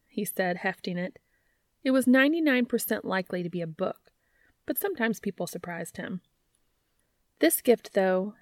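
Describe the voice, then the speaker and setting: A woman's voice: medium pitch, dry recording. Woman reading aloud, dry close sound. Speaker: podcast host and fan fiction reader. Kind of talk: scripted narration, reading fiction aloud.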